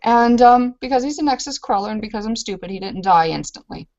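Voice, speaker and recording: A woman's voice: a little high, animated, brisk, a little mellow, muffled recording. A woman voicing a self-deprecating female werewolf warrior who tells of her battles in a hesitant, rambling way. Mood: boastful storytelling. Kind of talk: in-character tabletop roleplay storytelling.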